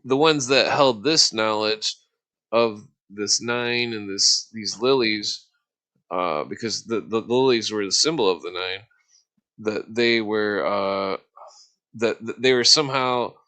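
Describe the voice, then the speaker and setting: Male host, lowish voice, loose rambling explainer, halting delivery with many searches for the right word. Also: pushed and bright. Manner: halting, searching for words, often losing his thread. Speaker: host explaining esoteric symbols. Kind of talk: casual rambling explanation in a livestream debate.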